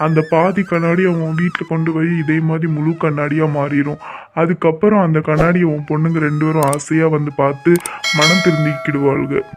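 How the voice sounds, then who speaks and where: A man's voice: a little high, close to an everyday voice. A man playing a kindly, mysterious temple holy man in a cartoon story, giving instructions like an uncle.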